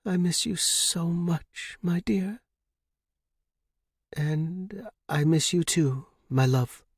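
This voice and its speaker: A frail, wistful old widow, as played by a male narrator, speaking high and husky in a dry storybook recording. She is genteel and devoted, lingering tenderly over formal phrases. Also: drawn-out words, dry recording, exaggerated voice. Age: older. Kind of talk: audiobook character reading.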